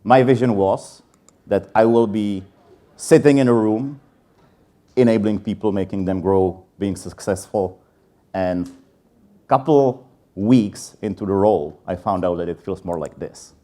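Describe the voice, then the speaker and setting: A man's voice: fairly low pitch, measured pace, dark tone. A man in his thirties with a Czech accent, giving a candid, self-deprecating conference talk with frequent filler sounds. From Czech Republic. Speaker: conference speaker. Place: conference stage.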